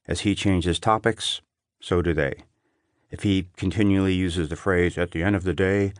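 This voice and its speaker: A man reading an essay as an audiobook narrator, in a measured, clearly phrased delivery. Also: low-pitched, no room sound, clean studio sound.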